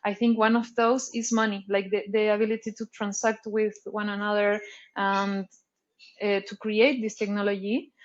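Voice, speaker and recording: A woman's voice: mid-range voice, level tone, moderate pace, muffled recording. A woman from Chile, a guest in a casual interview, thinking aloud and searching for words. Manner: thoughtful, searching for words with many "like"s and restarts. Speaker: artist guest.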